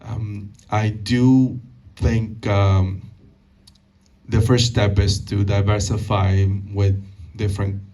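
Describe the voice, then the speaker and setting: A man in his thirties from Peru, answering audience questions at a community talk, halting and full of fillers and restarts. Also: lowish voice, flat delivery, warm tone, far from the mic. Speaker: guest speaker. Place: meeting hall.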